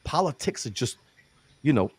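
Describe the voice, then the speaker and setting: A man's voice: mid-range voice, sing-song, normal speed, slightly rough, dry recording. A man from New York hosting a casual interview show, hyping his guest, laughing often and calling him brother. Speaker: show host.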